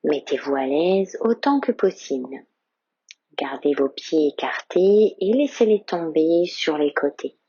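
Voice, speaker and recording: A woman guides a yoga nidra session in a slow, hushed, breathy voice, drifting into whispers. Her tone sits a little low, and the close, dry recording sounds somewhat dull. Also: lively intonation, dry recording. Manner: slow, soft-spoken guidance with whispered phrases. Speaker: yoga teacher.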